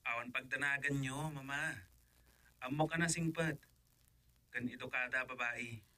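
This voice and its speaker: A man's voice: mid-range voice, fairly even intonation, normal speed, sharp tone, no room sound. A man with a Filipino accent acting in a radio drama as an earnest son in love, eager to marry. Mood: earnest, reassuring. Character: adult son in love, eager to marry, reassuring his mother.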